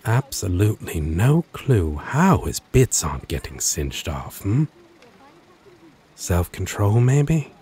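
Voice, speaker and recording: Man acting a very slow, deep demon lover. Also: big pitch swings, darkish tone, slightly rough, heavily acted. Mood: teasing, flirtatious. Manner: slow, teasing, chuckling drawl. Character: flirtatious, possessive male demon lover, besotted and needy. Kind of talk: intimate ASMR roleplay.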